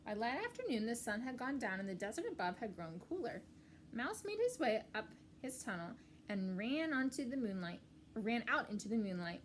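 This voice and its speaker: A woman reading a children's story aloud in an expressive narrating style for young listeners. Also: on the high side, normal speed, a little hiss or hum.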